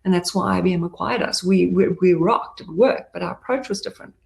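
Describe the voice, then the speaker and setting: A woman's voice: lowish voice, brisk, gravelly, a little mellow, reverberant. A middle-aged woman from Zambia, a tech company CEO giving a candid, emphatic conference talk with many ums and asides.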